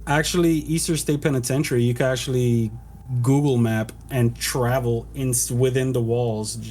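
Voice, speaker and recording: A man co-hosting a podcast, talking in a halting, casual way with lots of "you know" and "like.". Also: medium pitch, fairly even intonation, darkish tone. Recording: faint background noise.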